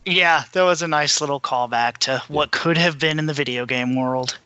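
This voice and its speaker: A man's voice: fairly high pitch, normal speed, sharp tone, clean tone, dry recording. A man running through news in a casual, informal way as a podcast panelist. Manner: informal, conversational. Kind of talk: casual news rundown and discussion.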